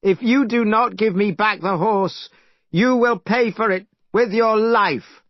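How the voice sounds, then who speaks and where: A man voicing a hot-tempered, boastful male monkey king in a storybook reading, shouting furiously. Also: on the high side, animated, moderate pace, no echo, pitched above the performer's own voice, natural voice. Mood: challenging. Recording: dull dry recording.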